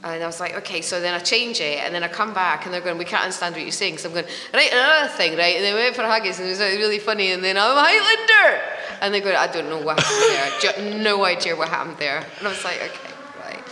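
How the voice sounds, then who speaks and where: A woman's voice: on the low side, lively intonation, fast, hardly any pauses, pushed and bright. A middle-aged Scottish woman from Edinburgh, a podcast guest chatting casually, laughing often and acting out voices in her stories. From Scotland. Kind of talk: casual interview chat. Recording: roomy hall reverb with faint ambience.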